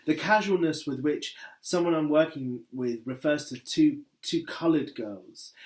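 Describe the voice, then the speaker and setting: A man reading a personal essay aloud in a wry, conversational way, leaning on key words for emphasis. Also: fairly high pitch. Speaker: book editor and essayist reading his work.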